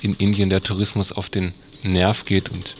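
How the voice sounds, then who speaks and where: A man's voice: low voice, monotone, normal speed, slight background noise. A man presenting a radio magazine in a loosely scripted style.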